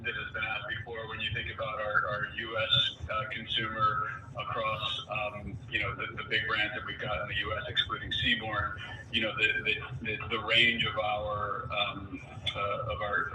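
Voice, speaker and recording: A male company executive answering questions on an earnings call, halting and repeating words as he goes. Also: fairly low pitch. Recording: noisy, echoey, distant conference call audio.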